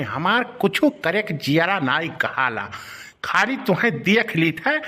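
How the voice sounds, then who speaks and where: A man's voice: high voice, bright, forceful tone, exaggerated voice. A man voicing a lovesick, sentimental village man in a comedy cartoon, pleading devotedly with an Awadhi accent.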